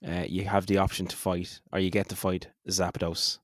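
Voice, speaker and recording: A man's voice: deep voice, little pitch movement, moderate pace, dry recording, studio quality. A man with an Irish accent leading a casual role-play game on a podcast, sweary and enthusiastic. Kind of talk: casual tabletop-style game narration and banter.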